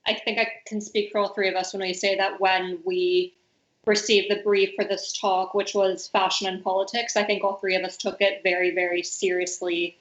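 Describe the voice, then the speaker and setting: A woman's voice: mid-range voice, flat delivery, normal speed. A young woman from the United States moderating a panel discussion over a video call, talking with many fillers and hedges. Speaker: talk moderator. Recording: roomy, muffled call audio.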